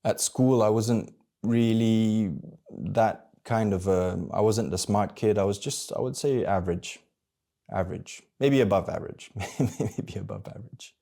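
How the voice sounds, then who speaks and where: Man, 30s, from Malaysia; deep, dark, gravelly voice; candid, introspective vlogger who talks haltingly. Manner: halting, searching for words, many restarts. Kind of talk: personal reflective monologue.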